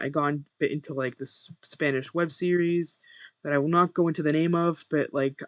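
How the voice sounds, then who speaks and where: Young man, monotone gameplay talk. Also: fairly high pitch, no echo. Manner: talks to himself while playing. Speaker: gamer recording his first video. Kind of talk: casual gameplay commentary.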